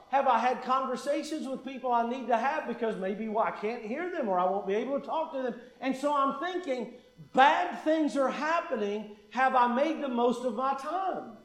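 A man preaching a sermon in earnest, quick with asides and repeating key phrases. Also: very high-pitched, echoey room. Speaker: pastor.

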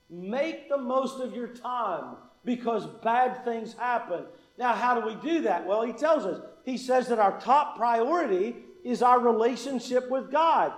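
A man preaching a sermon in earnest, quick with asides and repeating key phrases. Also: extremely high pitch, echoey room, poor audio. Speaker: pastor.

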